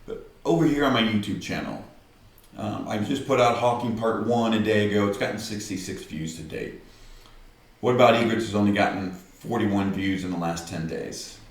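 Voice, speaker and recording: An older man with a gruff voice who talks directly, rambling to camera in a casual vlog and often tagging on "right?". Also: lowish voice, normal speed, very echoey, far from the mic. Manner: rambling, many "right?" tags. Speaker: vlogger.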